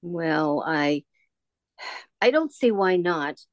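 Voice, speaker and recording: A woman's voice: lowish voice, expressive, extremely slow, no room sound. A woman playing a kindly, rambling old shopkeeper in a radio play, hesitant and musing. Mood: wistful, chatty.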